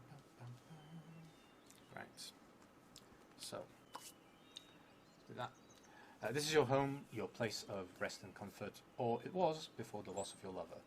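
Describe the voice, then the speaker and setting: A man's voice: lowish voice, heavy background noise. A man in his thirties narrating a solo tabletop role-playing game on a stream, reading prompts aloud and musing to himself. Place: indoor streaming setup in a theatre venue.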